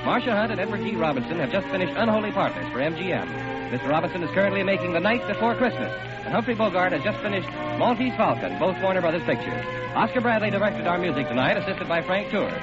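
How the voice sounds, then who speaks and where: Old-time radio announcer, man, high animated voice, scripted, over loud music. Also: quick pace. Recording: muffled vintage radio, loud music behind.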